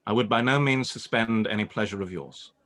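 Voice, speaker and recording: An actor playing a proud, reserved, guarded gentleman in a period radio-play reading, speaking in a stiff, formal, restrained way. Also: on the low side, a little fast. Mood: cool.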